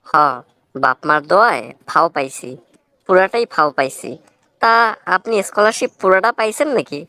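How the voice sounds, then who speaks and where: A woman's voice: fairly low pitch, animated, moderate pace, bright, forceful tone, dry recording. A woman voicing an earnest, talkative young village student in a cartoon comedy, speaking in a rustic Barisal accent with blunt, moralizing manners. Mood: proud, explaining. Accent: Barisal Bangla. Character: earnest, plain-spoken young village man, scholarship student.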